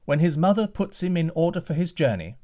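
A man's voice: on the high side, big pitch swings, fairly quick, dark tone, voice pushed up. A man voicing a worried king calling down from castle walls. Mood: loud. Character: anxious king calling from battlements.

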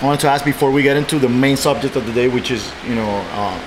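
A man with a medium-pitched voice co-hosts a casual interview. He speaks conversationally over a clear, steady background hum. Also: fast. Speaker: podcast co-host.

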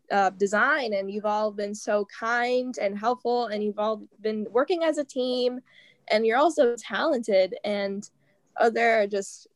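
A teenage girl from a high school drama club, talking enthusiastically in a casual interview. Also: no room sound. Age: teens. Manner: enthusiastic, gushing.

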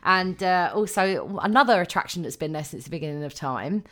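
A woman's voice: lowish voice, expressive, brisk, a bit bright. A young woman co-hosting a podcast, chatting casually with many ums and frequent laughs. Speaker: podcast co-host. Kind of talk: casual chat.

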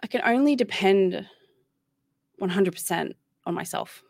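A woman's voice: medium pitch, measured pace, creaky, darkish tone, no echo. Rambling Australian woman, dry close sound. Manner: candid and rambling, with many restarts. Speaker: guest. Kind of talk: casual interview chat.

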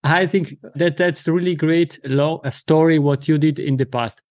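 A man's voice: a little high, steady tone, moderate pace, darkish tone, no room sound, studio quality. Polite man hosting a podcast. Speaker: podcast host. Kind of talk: interview questions.

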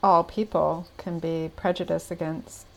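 An American woman speaks as a podcast guest, earnest and reflective. Her voice sits low with a gravelly edge. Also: flat delivery, a little slow, low-quality recording. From United States. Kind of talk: casual interview answers.

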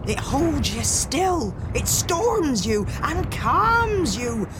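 A woman plays a bold pirate mother from a storybook. The voice is high and swoops widely, with theatrical, commanding cries. There is faint noise behind it. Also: sing-song, very slow, exaggerated voice. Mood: rapturous. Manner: shouting, exclamatory, theatrical. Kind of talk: children's storybook character voice.

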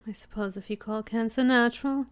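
A woman's voice: fairly high pitch, lively intonation, takes it slowly. A woman playing a composed country-club widow in a put-on transatlantic lilt, clipped and prim.

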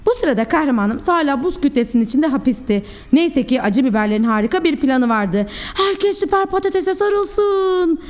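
A woman's voice: on the high side, animated, a little fast, few pauses, darkish tone. A woman preschool teacher telling a storybook tale expressively and theatrically to young children.

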